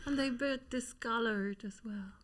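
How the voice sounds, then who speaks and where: A woman's voice: on the high side, normal speed. A woman in her forties co-hosting a podcast, teasing her partner in dry, casual chat. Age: 40s. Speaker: podcast co-host.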